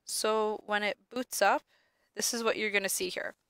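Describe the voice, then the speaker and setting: Sniffly young woman teaching software. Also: mid-range voice, fairly even intonation, moderate pace, clean tone, no echo. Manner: casual, many ums and self-corrections. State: sniffly from allergies. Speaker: lab instructor. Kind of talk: screen-recorded software tutorial.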